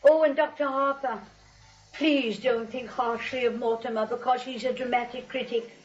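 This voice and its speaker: Woman playing a high, fluttery old aunt. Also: steady tone, moderate pace, natural voice. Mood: genteel, cheerful. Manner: genteel, fussy, exclaiming. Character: sweet, fluttery elderly spinster aunt, cheerfully dotty. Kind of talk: 1940s radio comedy acting. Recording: dull, lo-fi vintage radio recording.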